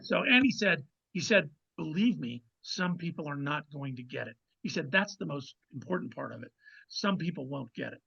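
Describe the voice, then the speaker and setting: A man speaking as a guest author, telling a casual anecdote in a halting way with repeated phrases. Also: on the high side, expressive, vocal fry, sharp tone, husky. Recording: dull, slightly lo-fi microphone sound.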